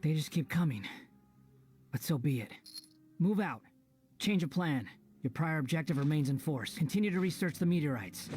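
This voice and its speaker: Imperious young villain, lightly pitched. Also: male voice, lively intonation, moderate pace, pauses often, a little mellow, slightly rough. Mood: commanding. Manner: imperious, haughty. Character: arrogant, menacing young male villain commander. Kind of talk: video game voice acting.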